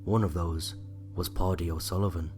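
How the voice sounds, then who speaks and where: A man with an Irish accent narrating a scripted true-crime story in a measured, reflective way. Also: sits low, fairly even intonation, a little mellow, faint music behind. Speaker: writer and narrator.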